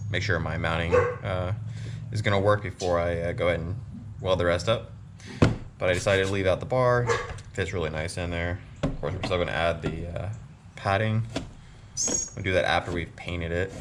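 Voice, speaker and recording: A man casually walking through a home motorcycle project, relaxed and full of pauses. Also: sits low, heavy background noise. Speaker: motorcycle builder. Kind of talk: casual DIY build walkthrough. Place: home workshop.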